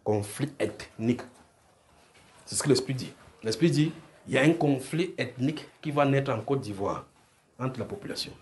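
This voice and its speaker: A man's voice: medium pitch, normal speed, a little mellow. A man with a Francophone African accent preaching prophetic visions off the cuff, repeating phrases and checking that his listeners follow. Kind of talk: extempore prophetic sermon to a congregation.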